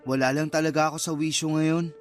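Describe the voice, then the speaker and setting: An actor plays an awkward young man in a radio drama. His voice is high for a man, earnest and full of apology. Light music plays behind him. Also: level tone, fast talker, lightly acted. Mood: awkward, sheepish. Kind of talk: Filipino radio drama acting.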